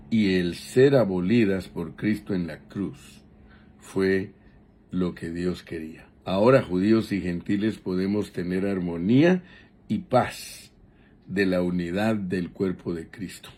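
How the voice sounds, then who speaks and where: A man preaching a Bible teaching sermon in a deliberate, measured way, pausing between short phrases. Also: low-pitched, warm tone, breathy edge.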